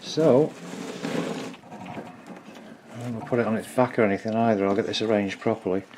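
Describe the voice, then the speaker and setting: A man's voice: on the low side, steady tone, a little fast, noisy background. A man giving casual hands-on repair commentary, informally thinking aloud in a tentative way as he works. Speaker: hobbyist repairer presenting own video.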